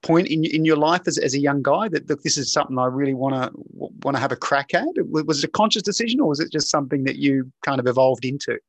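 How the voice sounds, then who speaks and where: A man's voice: fairly high pitch, fast talker, hardly any pauses, dry recording. A man with an Australian accent interviewing a guest, asking long winding questions and stumbling over his words. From southeast Australia. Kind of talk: podcast interview.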